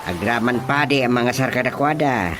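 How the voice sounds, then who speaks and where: An actor playing an excitable, exclamatory family go-between in an Ilocano radio drama comedy, with a theatrical, stammering delivery. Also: male voice, mid-range voice, moderate pace, lightly acted. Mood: eager. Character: excitable Filipino male go-between arranging a rushed family wedding. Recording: radio drama with music behind.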